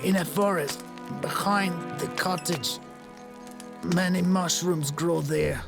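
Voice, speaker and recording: A sick scared villager in pain, halting and croaky, game cutscene w/ music. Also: male voice, sits high, heavily acted. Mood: weak, pained. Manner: halting, broken phrases. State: ill, in pain, weak. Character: gravely sick villager, slow, weak and frightened. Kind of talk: video game voice acting. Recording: music behind the voice.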